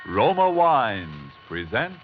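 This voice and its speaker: A man's voice: fairly low pitch, huge pitch swings, slow, music in the background. A man announcing for a sponsor in a formal, emphatic 1940s radio advertising style. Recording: muffled old radio broadcast.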